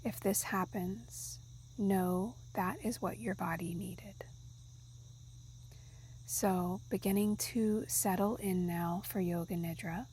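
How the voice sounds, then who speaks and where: A woman guiding a meditation in a soft, soothing, breathy, half-whispered voice. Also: medium pitch, a little hiss or hum, rough recording. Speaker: yoga nidra guide. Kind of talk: guided meditation narration.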